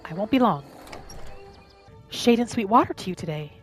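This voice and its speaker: A woman narrating her game roleplay in character, with a gentle, musing manner as if talking to herself and her pets. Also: medium pitch, expressive, moderate pace. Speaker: roleplay gaming host. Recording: music behind the voice. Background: background music.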